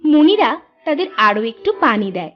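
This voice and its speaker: A woman's voice: on the high side, very animated, moderate pace, highs cut off. A woman reading scripted narration for a cartoon story.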